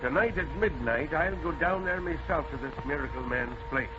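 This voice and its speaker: A man as a blustery veteran police sergeant, higher-pitched. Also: animated, normal speed, lightly acted. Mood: blustery, resolute. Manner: blustery, folksy. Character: gruff veteran male police sergeant, folksy, middle-aged. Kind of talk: 1940s radio acting. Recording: noisy muffled old radio recording.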